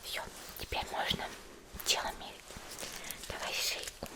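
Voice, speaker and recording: A woman doing an ASMR roleplay, speaking to the listener in a gentle, caring, unhurried manner. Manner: gentle, caring, unhurried, uses affectionate diminutives. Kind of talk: whispered ASMR roleplay, softly talking to the listener.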